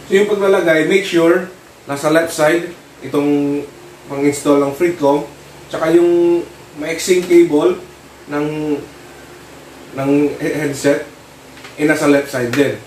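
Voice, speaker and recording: A Filipino man giving a casual hands-on tutorial, talking informally in short, halting phrases as he works. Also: on the high side, steady tone, a little mellow. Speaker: vlogger demonstrating product installation. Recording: roomy, distant mic with clear noise.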